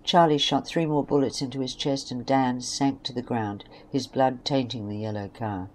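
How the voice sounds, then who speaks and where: A woman narrates a scripted story in a low register. The recording is homemade, with clear noise behind the voice. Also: steady tone, normal speed, darkish tone.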